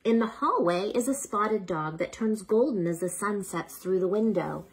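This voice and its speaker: A woman reading a children's bedtime story aloud in an unhurried, expressive way, as if speaking to a child, with a slightly husky voice. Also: mid-range voice, slight background noise.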